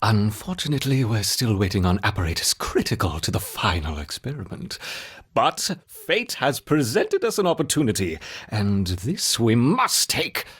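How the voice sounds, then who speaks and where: A man's voice: on the low side, big pitch swings, moderate pace, a bit bright, slightly rough, exaggerated voice. An actor playing a bookish, evasive male scientist in a video game, with a fussy, theatrical manner. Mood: composed, scheming. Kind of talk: video game character acting.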